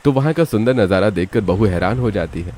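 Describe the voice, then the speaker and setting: Male narrator for animated family tales, low register, scripted storytelling delivery. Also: fairly quick.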